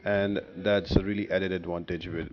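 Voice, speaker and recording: A man's voice: sits low, level tone, moderate pace, dull audio, slight background noise. A man giving instructional gameplay commentary, explaining tactics step by step as a guide creator.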